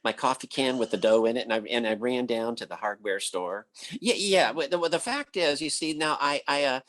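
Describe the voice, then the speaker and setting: A man playing a blustering but soft-hearted husband in an old-time radio comedy, folksy, excitable and bumbling. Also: medium pitch, a little fast, lightly acted. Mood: flustered.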